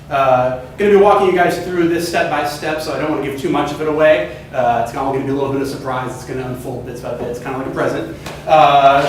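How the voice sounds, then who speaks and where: A man's voice: medium pitch, distant mic, a little hiss or hum. Casual young man in an echoey room. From California. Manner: casual, upbeat, many hedging fillers. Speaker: student presenter. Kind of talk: class presentation pitch.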